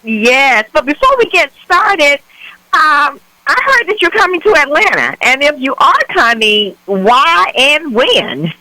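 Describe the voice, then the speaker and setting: A woman's voice: high voice, sing-song, slow, bright, forceful tone, no room sound, rough recording. A woman hosting an afternoon radio talk show, chatty and enthusiastic as she interviews a guest. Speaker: radio host. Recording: radio broadcast, dry.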